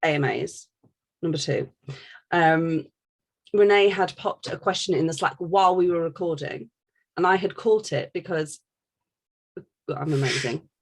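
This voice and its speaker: A woman's voice: low-pitched, measured pace, pauses often, breathy edge. A woman co-hosting a podcast in a casual, joking and irreverent way, reading out questions. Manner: playful, irreverent. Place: bedroom.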